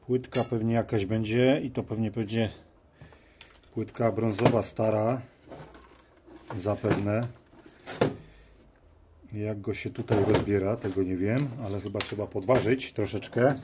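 A man giving casual hands-on commentary, musing aloud in short, halting phrases as he works at a workshop bench. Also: lowish voice, fairly even intonation. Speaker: host of a DIY teardown video. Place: workshop at a cluttered metal bench. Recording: noisy workbench with clicks and clatter. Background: tool clicks and metallic clatter.

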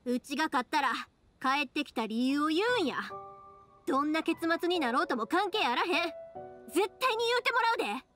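A woman's voice: high-pitched, animated, brisk, a bit bright, faint music behind. A woman playing a frank, stubborn young fireworks maker with a Kansai accent in a Japanese anime game. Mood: determined.

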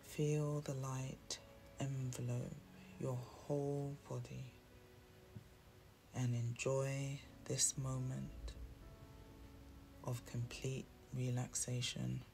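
A meditation guide speaks extremely slowly in a soothing, hushed way, with a creaky edge to the voice. The delivery is even and deliberate, leaving long pauses, and instrumental music plays behind it. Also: medium pitch. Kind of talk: guided meditation, scripted narration. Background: soft instrumental music.

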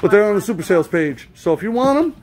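A record store host chatting casually and excitably during an unboxing, often repeating phrases for emphasis. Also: mid-range voice, quick pace. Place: store back room with shelves and boxes. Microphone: handheld camera mic. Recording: clicky, noisy handheld recording.

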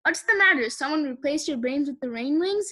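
A woman's voice: sits high, expressive, brisk, pushed and bright. A woman voicing a bossy young female dragon, the eldest and biggest, commanding and impatient in a storybook.

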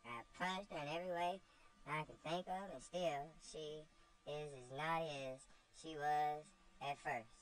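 A woman reads a strict lady at the end of her patience, weary and complaining. The voice sits a little above the reader's own. She speaks slowly and heavily. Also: low voice, fairly even intonation, pitched above the performer's own voice, poor audio. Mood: exasperated. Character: exasperated strict older woman, worn out.

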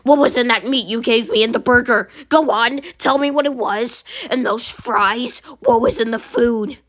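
A woman voicing an angry male customer who speaks slowly and accuses sternly. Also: a little high, fairly bright tone.

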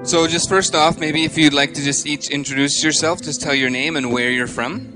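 A man in his thirties hosting a live interview on a handheld microphone, speaking haltingly with frequent pauses. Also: on the high side, steady tone, music in the background, rough recording. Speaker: host. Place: indoor stage with curtain.

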